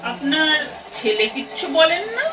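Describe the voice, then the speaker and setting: A woman's voice: sits high, big pitch swings, normal speed. A woman playing a friendly young train passenger in an audio play, direct and inquisitive in her manner. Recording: slightly distant from microphone.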